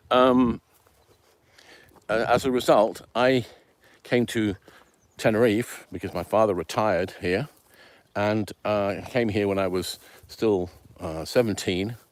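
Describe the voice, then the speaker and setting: Deep-voiced man, rambling life story. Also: no room sound. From Venezuela. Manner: rambling, many ums and uhs. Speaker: channel host telling his background. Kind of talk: casual spoken life story.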